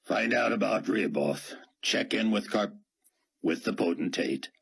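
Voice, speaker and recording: A man voicing a steady, practical male soldier who speaks in a calm, low-key way. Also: a little slow, vocal fry, breathy edge, exaggerated voice.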